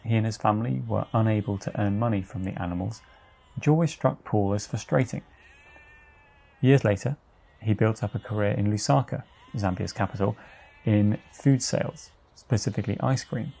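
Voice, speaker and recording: A man's voice: on the low side, creaky, dark tone, slightly rough. A man hosting a podcast, moving between scripted introductions and interview questions. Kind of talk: scripted narration and friendly interview.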